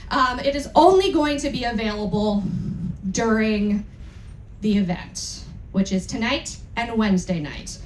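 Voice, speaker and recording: A woman hosting a live event, giving an informal, candid and irreverent introduction to an audience. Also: high-pitched. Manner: candid, irreverent, casually sweary. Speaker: event host introducing a dramatic reading. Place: indoor event room on a cruise. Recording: roomy live event sound with noticeable noise.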